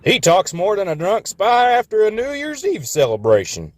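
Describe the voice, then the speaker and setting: A man's voice: sits high, moderate pace, pitched above the performer's own voice. Man doing Texas, a brash Texan-drawling engine. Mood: boastful. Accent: put-on Texan. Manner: energetic, folksy, boastful. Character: brash young male locomotive with a fake Texan drawl.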